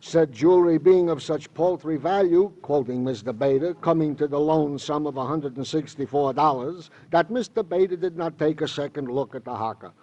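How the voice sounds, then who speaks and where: A male actor performs a fussy, devoted New police sergeant with a high-set, very mellow man's voice. He talks in florid, mock-official roundabouts, as comic relief in a radio crime drama. The old recording sounds dulled. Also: normal speed, few pauses, lo-fi sound, lightly acted. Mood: officious.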